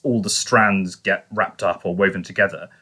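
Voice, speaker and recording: A man's voice: low-pitched, fairly even intonation, moderate pace, pushed and bright, husky. A man hosting a casual review podcast, talking discursively and qualifying his points as he goes. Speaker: podcast host.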